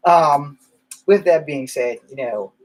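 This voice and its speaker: A man's voice: a little high, animated, slow, low-quality recording. A man rambling through candid stories in a casual monologue, swearing now and then. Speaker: vlogger.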